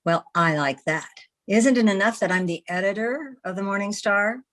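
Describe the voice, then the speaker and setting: A woman's voice: medium pitch, moderate pace, a bit bright, close to an everyday voice. A woman playing a headstrong, exasperated newspaper editor in an old-time radio comedy, emphatic and indignant.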